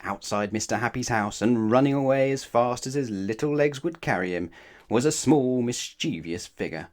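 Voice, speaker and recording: A man's voice: medium pitch, moderate pace, darkish tone. A man reading a children's story aloud as narrator, expressively and with dramatic emphasis. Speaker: storybook reader.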